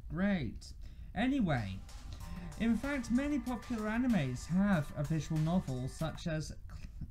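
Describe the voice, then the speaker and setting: A man's voice: sits high, very animated, a little slow, a little mellow, faint music behind. A young man co-hosting a casual video discussion. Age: young adult. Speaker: co-host.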